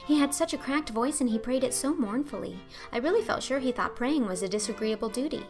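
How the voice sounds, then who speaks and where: Lady doing a chatty dreamy orphan girl for an audiobook, high voice, super earnest, music behind. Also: animated, fairly quick. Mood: rapt. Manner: earnest, effusive, rambling. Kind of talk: audiobook character acting.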